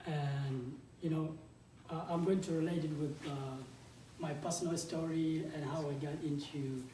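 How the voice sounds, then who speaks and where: A young man with a South Sudanese accent presents in a soft-edged, mellow tone. His delivery is halting and conversational, picked up from a distance in an echoey room with faint noise behind. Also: fairly high pitch, steady tone. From South Sudanese, grew up in a refugee camp in Uganda. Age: 20s. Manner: halting, conversational, with frequent repeated words. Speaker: game developer and startup founder, guest speaker. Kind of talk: informal conference presentation.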